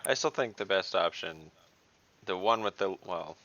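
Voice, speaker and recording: A man's voice: low voice, lively intonation, unhurried, fairly bright tone, dry recording, lo-fi sound. A man playing an online tabletop game, casually and analytically talking through the options. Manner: analytical, weighs options at length. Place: online voice call.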